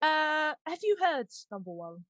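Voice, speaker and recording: A woman's voice: high voice, sing-song, measured pace, a bit bright, dry recording. A woman hosting a casual behind-the-scenes chat in a giggly manner. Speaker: podcast host, audio drama maker.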